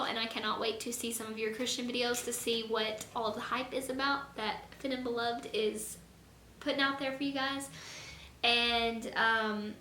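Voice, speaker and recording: A young woman with a hoarse, fading voice, talking earnestly and rambling a little in a casual faith vlog. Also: on the high side, bright, forceful tone. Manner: earnest, rambling, lots of fillers. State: hoarse, losing her voice. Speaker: vlogger. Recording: echoey room, faint noise.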